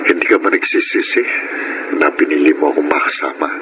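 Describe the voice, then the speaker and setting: A humble father in love, with a deep voice and a pleading, sincere manner. It is radio drama acting on a clearly noisy recording. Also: a little fast, lo-fi sound. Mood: earnest, humble. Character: earnest, humble separated father courting his sweetheart.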